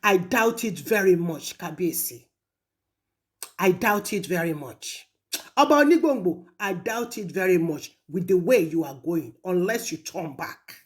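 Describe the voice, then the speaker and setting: Slow, animated Nigerian woman, stern and admonishing. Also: lowish voice. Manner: stern, deliberate, rhetorical repetition. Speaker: speaker addressing a traditional ruler in a recorded message. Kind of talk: admonishing recorded audio message.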